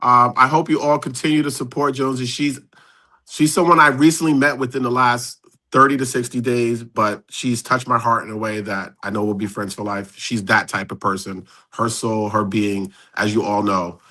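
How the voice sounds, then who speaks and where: Bright-voiced man in his fifties. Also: medium pitch, fairly even intonation, normal speed. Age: 50s. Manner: walks through numbers step by step, chatty. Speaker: guest. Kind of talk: casual live-stream chat.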